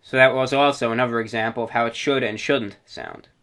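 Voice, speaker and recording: A man's voice: mid-range voice, steady tone, brisk, noisy background. A man hosting a radio hobby show, explaining in an informal presentation with slightly formal, stilted phrasing. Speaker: host of a shortwave radio show.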